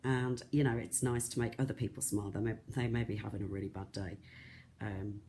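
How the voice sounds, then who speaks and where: Croaky, soft-spoken beading tutor. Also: mid-range voice, fairly even intonation, a little fast, slight background noise. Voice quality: soft, not loud. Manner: chatty, rambling, lots of ums and restarts. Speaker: craft shop tutor. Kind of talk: live-streamed beading tutorial.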